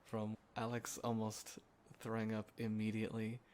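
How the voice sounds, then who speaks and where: A man acting as a sentimental young guy catching up with a close friend, talking in a casual, halting, soft-hearted ramble for an intimate roleplay audio. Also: fairly low pitch, completely flat delivery, lightly acted. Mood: amused, fond. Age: young adult. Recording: clear background ambience noise. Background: quiet city ambience.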